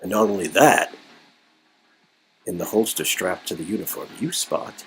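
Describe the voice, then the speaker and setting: A man's voice: deep voice, expressive, normal speed, fairly bright tone, slight background noise, rough recording. A man reading a story game aloud as host, playfully dramatizing it and breaking off into joking commentary. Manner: playful, riffing, swings into exclamations and mock voices.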